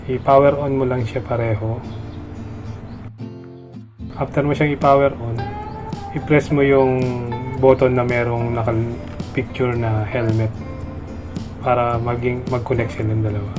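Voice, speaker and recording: A man's voice: mid-range voice, little pitch movement, unhurried, long pauses, music in the background. A man with a Filipino accent demonstrates a product step by step in a casual, instructional way.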